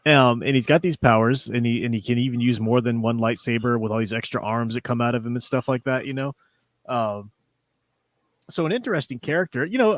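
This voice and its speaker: Male livestream host, average pitch, casual discussion style with rambling, hedging explanations, dry recording. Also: no room sound.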